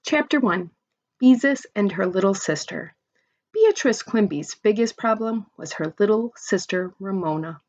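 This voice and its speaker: A woman's voice: medium pitch, expressive, a little slow, darkish tone, no room sound. Woman reading a children's story, dull recording. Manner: chatty asides with questions to young listeners. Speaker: teacher or parent reading aloud to children. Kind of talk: expressive children's story read-aloud.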